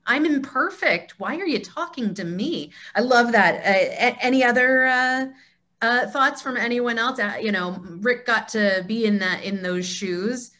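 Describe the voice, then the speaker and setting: Slow-paced woman leading a discussion. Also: a little high, pushed and bright. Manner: encouraging, affirming. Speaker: discussion leader of a temple group. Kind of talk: informal group teaching and discussion. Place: video call.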